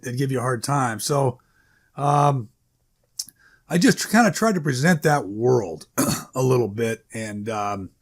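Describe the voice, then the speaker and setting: Rambling, halting man in casual talk. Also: medium pitch. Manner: rambling, halting, self-correcting, hedging. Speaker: author introducing own short story. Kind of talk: informal unscripted introduction, casual talk.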